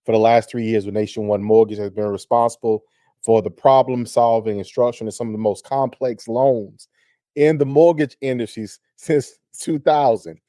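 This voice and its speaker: A man hosting a real estate radio show, talking in an energetic, effusive way as he hypes up his guest. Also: medium pitch, no room sound, clean studio sound. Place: radio studio.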